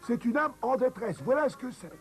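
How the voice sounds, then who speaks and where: A man's voice: extremely high pitch, lively intonation, a little fast, faint music behind. A man playing a bossy, grumpy dwarf leader who scolds and commands in a dubbed children's cartoon. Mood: gruffly formal.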